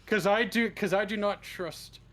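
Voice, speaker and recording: A man's voice: sits high, fairly even intonation, moderate pace, fairly bright tone. A man chatting casually as an interview guest, blunt and informal, swearing freely. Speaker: interview guest, script writer. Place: live online voice stream.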